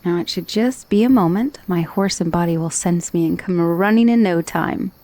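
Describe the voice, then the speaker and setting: A woman's voice: on the low side, moderate pace, mellow, close to an everyday voice. A woman playing a friendly, chatty dullahan, a magical severed head, in an ASMR roleplay with casual, hesitant asides. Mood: impatient. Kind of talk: ASMR roleplay acting, addressing the listener.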